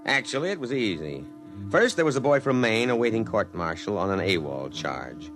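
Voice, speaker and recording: A pompous army colonel, animated, low-voiced. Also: male voice, normal speed. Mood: smug. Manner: clipped, officious military formality. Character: pompous, ambitious male army colonel, scheming and vain. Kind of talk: 1950s radio drama acting and first-person narration. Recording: vintage 1950s radio broadcast with music.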